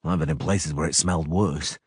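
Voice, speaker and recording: A man voicing a terse grown male monster hunter who speaks low and curtly. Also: fairly quick, slightly rough, dry recording, studio quality, full character voice. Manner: low, curt and flat.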